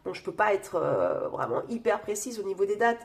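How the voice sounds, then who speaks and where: A woman's voice: mid-range voice, big pitch swings, brisk, creaky. A woman with a cold reading tarot cards in casual chat, speaking through her nose with many fillers and talking straight to her viewers. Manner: chatty, many fillers, addresses viewers directly. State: has a cold, nasal voice. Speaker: tarot reader.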